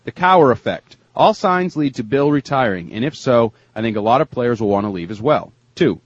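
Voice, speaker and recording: A man hosting a sports talk show, discussing and reading out emails in a husky voice. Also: mid-range voice, lively intonation, a little fast, dull audio. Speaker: sports radio show host.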